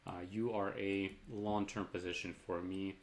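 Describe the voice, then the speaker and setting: A man giving a casual market rundown over charts, loose and chatty, his voice a little raspy from long talking. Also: sits low, flat delivery, a little slow, a little hiss or hum. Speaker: market commentator.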